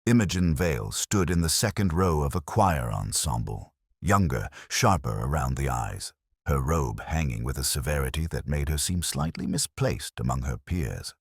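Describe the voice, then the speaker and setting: A man narrating a scripted first-person detective mystery in a measured, formal, literary manner. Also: extremely low pitch, expressive, slightly rough, no room sound, clean studio sound.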